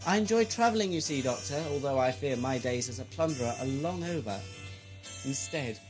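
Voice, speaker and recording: A man's voice: mid-range voice, lively intonation, brisk, lightly acted. A man playing a grandiose, greedy, gleefully murderous villain lord in an audio sci-fi drama, with a theatrical, mocking, mock-courteous delivery. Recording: music underneath.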